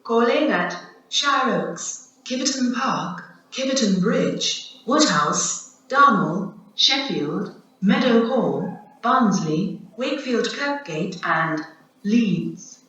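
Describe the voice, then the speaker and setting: An automated station announcer, a woman, reading railway announcements in measured, evenly stitched phrases. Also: on the high side, expressive, fairly bright tone, slight background noise. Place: outdoor railway station platform. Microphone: public address speakers recorded from a distance. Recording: echoey muffled station tannoy from far off.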